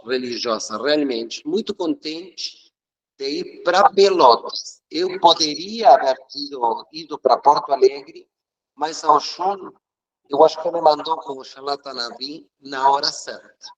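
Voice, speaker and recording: Uruguayan man speaking Portuguese, higher-pitched. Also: animated, dull audio. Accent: Uruguayan Spanish. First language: Spanish. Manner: earnest, reflective, occasional halting restarts. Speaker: guest, religious leader. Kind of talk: emotional personal storytelling.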